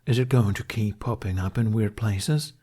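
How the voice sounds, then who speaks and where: A man's voice: on the low side, moderate pace, mellow, slightly rough. A man voicing a cheerful male dragon companion in an audiobook, teasing and urging in a smug, eager manner. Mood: playful insistence.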